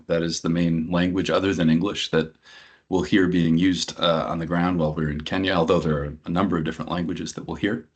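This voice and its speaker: A man's voice: low voice, slightly rough. A middle-aged man from New Hampshire, a program director, presenting an information session in a conversational way with frequent ums. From New Hampshire, USA. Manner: filler-heavy, conversational explaining.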